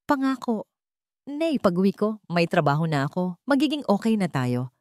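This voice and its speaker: An earnest young man of a dramatic storybook, acted by a woman. He speaks with reassuring resolve and lively, moving pitch. The sound is dry and close. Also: moderate pace, no room sound, close to an everyday voice. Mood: tender resolve. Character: earnest, devoted young man, poor job seeker.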